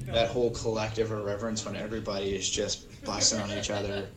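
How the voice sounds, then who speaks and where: A man's voice: on the low side, flat delivery, fast talker. A man giving a casual, candid interview as a guest. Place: busy echoey lounge. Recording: echoey space with crowd chatter.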